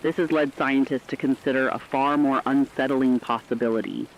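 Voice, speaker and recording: A man playing a grave radio co-anchor, reading a scripted report in a formal, ominous, deliberate way. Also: mid-range voice, steady tone, natural voice. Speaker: news co-anchor. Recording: dull lo-fi broadcast with faint rain noise.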